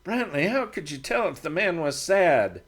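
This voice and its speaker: Fussy frontier sidekick, higher register, quick to defend himself; a male narrator voices him. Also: very animated, a bit bright. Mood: defensive. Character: fussy talkative frontier man, Brent's partner.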